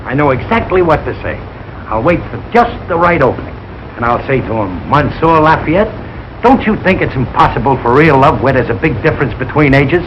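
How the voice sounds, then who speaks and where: Guy acting a blustery suspicious dad on old radio comedy, blunt bad grammar, swoopy pitch, noisy recording. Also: animated, fairly quick, lo-fi sound, lightly acted. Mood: indignant, stubborn. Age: middle-aged. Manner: ungrammatical, exasperated, blunt. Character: blustering, suspicious working-class father of a teenage daughter. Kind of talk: 1940s radio sitcom acting.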